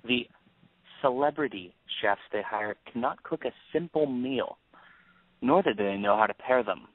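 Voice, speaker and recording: A man playing a younger, falsely friendly schemer with a deliberate, flattering manner in an audio play. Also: medium pitch, no echo, close to an everyday voice. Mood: defensive. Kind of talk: audio stage-play acting. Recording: tinny narrowband phone-like line.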